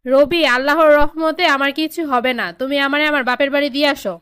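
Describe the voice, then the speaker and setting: A meek young cartoon wife voiced by a woman with a high voice and quick speech. She sounds obliging and self-effacing in colloquial Bangladeshi. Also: steady tone, a bit bright, dry recording, studio quality. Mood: meek, reassuring. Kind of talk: cartoon drama dialogue.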